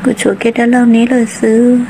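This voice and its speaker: A woman voicing a female character in an amateur animated cartoon drama. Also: a little high, fast talker, a little hiss or hum, natural voice, low-quality recording. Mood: conversational. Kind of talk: hobby animated cartoon dialogue.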